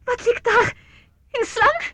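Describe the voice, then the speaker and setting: A woman's voice: very high voice, slow, pushed and bright, a little hiss or hum, exaggerated voice. An actress playing a squeamish, easily frightened young woman in a radio play. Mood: disgusted, frightened.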